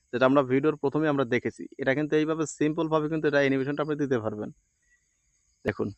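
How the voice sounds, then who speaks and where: Man, quick pace, dry close sound, tutorial presenter, repetitive instructions. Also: fairly high pitch, no room sound, lo-fi sound. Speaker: video editing tutorial presenter. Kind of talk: informal step-by-step screen tutorial.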